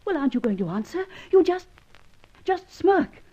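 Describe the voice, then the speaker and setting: A woman's voice: extremely high pitch, big pitch swings. An actress playing a secretive, anxious woman double agent in an old radio melodrama, pleading with dramatic intensity. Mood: suspicious, agitated. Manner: dramatic, urgent, breaking into hushed pauses. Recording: dull lo-fi old radio recording.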